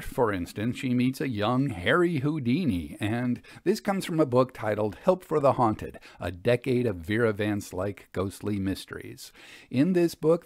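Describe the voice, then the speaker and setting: A man with a mid-pitched voice tells and discusses stories in a measured, articulate way. The recording is dry and close, suited to audiobook narration. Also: no room sound. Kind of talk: scripted audiobook narration and commentary.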